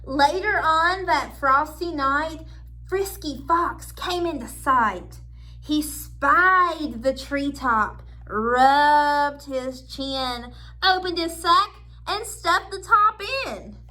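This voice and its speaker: Bright, high-voiced woman reading to children. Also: drawn-out words. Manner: expressive, explains the pictures in simple asides. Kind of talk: children's picture book read-aloud with asides to listeners.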